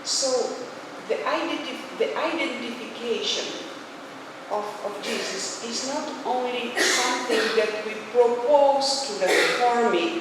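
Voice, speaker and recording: A woman from Poland with a Polish accent, speaking non-native English haltingly as she gives a spiritual reflection in a speech. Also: fairly high pitch. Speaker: religious leader, speaker. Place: large echoey hall, speaking to a gathering. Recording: echoey hall from far off, noisy.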